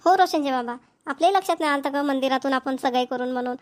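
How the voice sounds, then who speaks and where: A woman's voice: high-pitched, fast talker, lightly acted. A woman voicing a busy, dutiful middle-aged village mother in a cartoon comedy, chatty and insistent, with a Varhadi Marathi accent.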